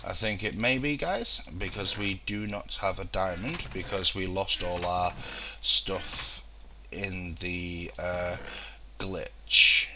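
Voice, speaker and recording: A man's voice: deep voice, takes it slowly, background noise. A young man with a throat infection, rambling through casual let's-play commentary with lots of ums and restarts.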